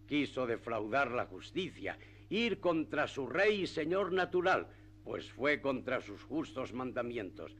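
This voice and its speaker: An actor playing a sly, learned village priest in a radio play, speaking with measured, rhetorical emphasis. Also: male voice, mid-range voice, very animated, fairly bright tone, slightly rough, exaggerated voice. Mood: righteous indignation. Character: sly, learned male village priest, feigning righteous indignation. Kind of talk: classic radio theatre acting.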